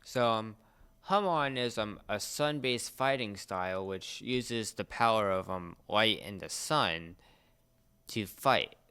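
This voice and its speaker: A young man co-hosting a casual pop culture discussion, explaining things in a halting, searching way. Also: fairly low pitch, pushed and bright, clean tone. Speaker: podcast co-host.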